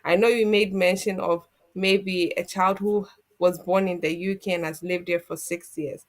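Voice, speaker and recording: A woman with a slightly low voice talks through legal advice in a steady, methodical way. She sounds like a solicitor guiding viewers through a process. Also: normal speed. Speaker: immigration solicitor guest. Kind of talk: explaining legal advice on a community TV show.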